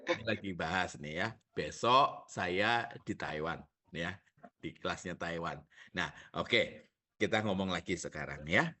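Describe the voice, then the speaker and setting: A man's voice: deep voice, measured pace, sharp tone. A male pastor with a Javanese accent preaching a sermon, asking the congregation rhetorical questions and joking.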